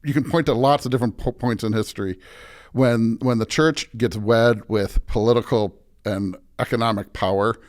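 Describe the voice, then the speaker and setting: A man's voice: mid-range voice, steady tone, moderate pace. A man speaking as a pastor and podcast guest, conversational but preaching, emphatic and often checking in with "right?".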